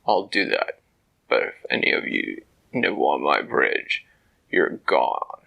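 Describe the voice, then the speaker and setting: A man's voice: animated, slow pace, creaky, dull audio, exaggerated voice. A man voicing a big, hairy male troll who mutters to himself and is fussy about his bridge. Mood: suspicious. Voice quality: low, grumbling voice. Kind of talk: bedtime storytelling.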